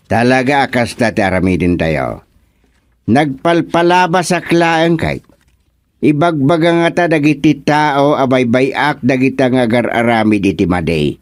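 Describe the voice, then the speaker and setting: A man playing a stern, authoritative village chairman with a deliberate, commanding manner in an Ilocano radio comedy drama. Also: medium pitch, animated, no room sound, natural voice. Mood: serious, firm.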